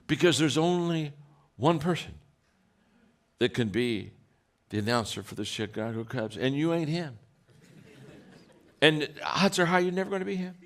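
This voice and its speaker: A man with a deep voice giving a motivational talk, telling stories with many restarts and acted-out quotes. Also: expressive, normal speed, a bit bright. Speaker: leadership speaker.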